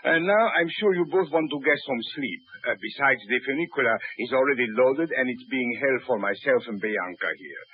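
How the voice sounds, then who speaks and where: An actor playing a scheming foreign villain with an overly polite, hesitant manner in 1950s radio drama. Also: male voice, mid-range voice, animated, fairly quick, few pauses, slightly rough. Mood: smooth. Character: smooth, scheming foreign villain posing as doctor. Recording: very noisy, tinny 1950s radio recording.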